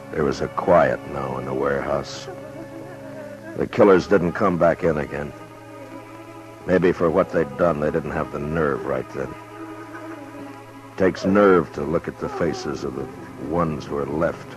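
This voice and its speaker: An actor playing a grim, steady lawman, narrating tersely in a somber old western radio drama. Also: a bass voice, rough recording. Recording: old radio broadcast with music.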